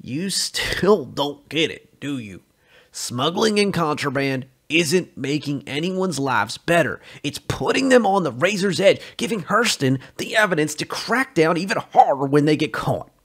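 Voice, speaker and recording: A man voicing a sullen, intense male revolutionary in a story reading, scolding in forceful, clipped phrases. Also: on the high side, sing-song, measured pace, pitched above the performer's own voice, exaggerated voice. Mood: angry, reproachful.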